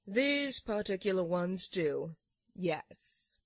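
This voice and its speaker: A woman playing a composed, clinical nurse giving measured, formal reports in a horror audio drama. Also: on the low side, very animated, no room sound, exaggerated voice.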